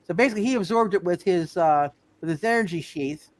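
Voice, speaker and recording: A man with a high-pitched voice runs a tabletop game as game master. He speaks casually and haltingly, stammering and restarting phrases while he works through the rules. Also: no echo. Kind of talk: casual live tabletop superhero game talk, working out rules.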